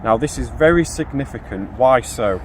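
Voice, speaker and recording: A man in his thirties with a mid-pitched voice explains things methodically, like a scientist in the field. He is outdoors, with faint ambient noise behind his voice. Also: lively intonation, normal speed. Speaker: field geologist. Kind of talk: explanatory field talk to camera. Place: grassy hillside outdoors.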